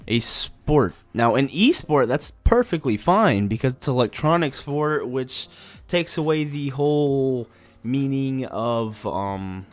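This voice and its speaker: A man's voice: mid-range voice, no room sound. A young man with a nasal, stuffy voice from allergies, giving a blunt, opinionated casual rant as a gaming vlogger. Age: young adult. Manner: blunt, opinionated, many "you know"s.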